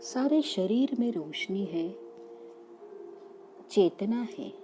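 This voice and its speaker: A woman leading a guided meditation in soothing short phrases with long pauses between them. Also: mid-range voice, animated, warm tone. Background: background music.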